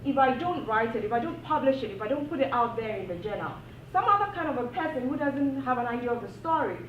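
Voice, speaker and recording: A woman's voice: fairly high pitch, big pitch swings, quick pace, slight background noise. A woman from Ghana, an academic giving earnest, impassioned panel remarks and repeating phrases for emphasis. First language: not English. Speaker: panel speaker, PhD academic. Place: university event room. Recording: echoey room, distant mic, dull sound.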